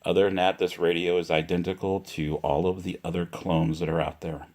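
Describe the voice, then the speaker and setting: A man giving a casual, unscripted product demonstration, speaking deliberately and repeating himself for emphasis. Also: low-pitched, flat delivery, a little mellow. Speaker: product reviewer.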